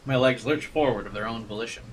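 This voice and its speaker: A man's voice: medium pitch, quick pace, recorded from a distance, a little hiss or hum, low-quality recording. A man streaming a game, switching between casual commentary and reading the narration aloud. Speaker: streamer playing a visual novel.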